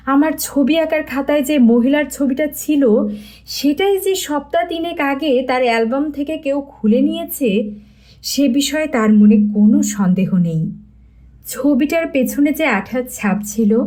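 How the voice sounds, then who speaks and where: A woman's voice: high-pitched, mellow. A woman reading a scripted story aloud as an audiobook narrator.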